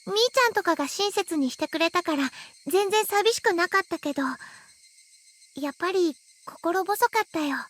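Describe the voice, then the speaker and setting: A sweet anime schoolgirl with an extremely high, girlish voice. She is gentle and a little timid, ending phrases in a cutesy way, as a visual novel heroine. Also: fast talker. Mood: brightly reminiscing. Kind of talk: Japanese visual novel voice acting.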